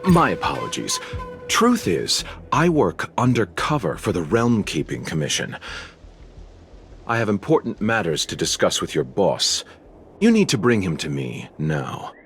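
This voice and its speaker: A man's voice: sits low, wildly swooping pitch, a little slow, a bit bright, full character voice. A man voicing a suave, mysterious merchant, polite and theatrical with an edge of threat, in a video game. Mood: courteous, composed. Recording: light game music behind.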